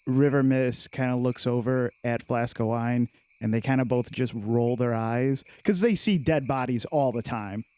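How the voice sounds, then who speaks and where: A man's voice: mid-range voice, moderate pace, darkish tone, clear, resonant tone. A man chatting casually as a player in a tabletop game, describing things in an informal, conversational way. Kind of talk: casual table talk and storytelling.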